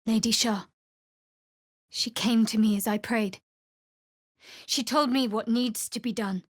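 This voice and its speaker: A young woman cleric of a dark goddess, in a slightly high, closely recorded dry sound. She is guarded and sardonic, delivering everything with a dry, wry edge. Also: steady tone, measured pace, no room sound. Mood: devout, solemn. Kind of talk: video game character voice acting.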